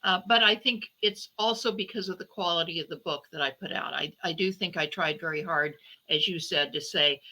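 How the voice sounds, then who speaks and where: A woman's voice: lowish voice, brisk, few pauses, sharp tone, low-quality recording. A woman speaking conversationally as an interview guest, reflective and feeling her way through phrases with self-corrections. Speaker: guest novelist and podcaster.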